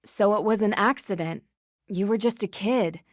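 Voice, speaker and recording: A woman playing a reasonable young female detective in a video game, asking questions in a clear, measured, earnest way. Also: medium pitch, no echo, studio quality, lightly acted. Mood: sympathetic.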